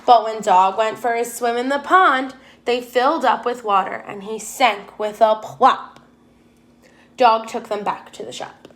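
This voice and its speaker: A woman's voice: on the high side, a little slow, a bit bright. A woman teacher reading a children's storybook aloud expressively, putting questions to her young listeners.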